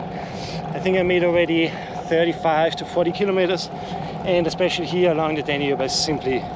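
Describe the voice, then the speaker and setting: A man with a strong Austrian accent chatting casually to the camera in an excited way, outdoors on a riverside bike path. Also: a little high, very noisy. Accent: Austrian German. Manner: excited, chatty, talking while out cycling. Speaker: travel vlogger. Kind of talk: casual on-the-road vlog talk. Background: loud music.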